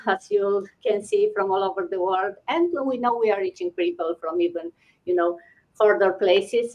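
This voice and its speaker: A woman's voice: mid-range voice, lo-fi sound. A woman from Romania with a Romanian accent, hosting a weekly live stream in a chatty, welcoming way over a video call. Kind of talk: casual live-stream chat.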